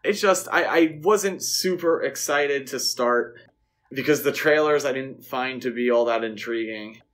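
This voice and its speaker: Casual male podcast co-host, halting delivery. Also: mid-range voice, a bit bright. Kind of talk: casual film discussion.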